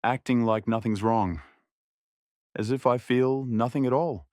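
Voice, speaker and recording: A man's voice: mid-range voice, measured pace, darkish tone, dry recording, studio quality. A young man giving scripted audio drama narration as a subdued, reflective inner monologue.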